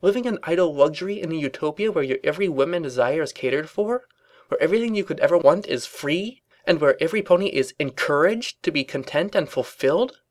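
A man's voice: sits high, sing-song, very slow, few pauses, a bit bright, no echo, voice pushed up, heavily acted. A man voicing a paranoid, secretly rebellious female pony office worker in an urgent, melodramatic story reading. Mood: agitated, pleading.

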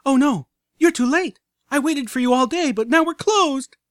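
A man doing a hyperactive little pony filly, pitched way up, with a wildly bouncing, squealing delivery. She gushes breathlessly like an overexcited tour guide, and the voice sits dry and close with no room around it. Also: normal speed, dry recording. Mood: shrill excitement. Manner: squealing, breathless, bursts of excitement. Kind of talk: audiobook character voice.

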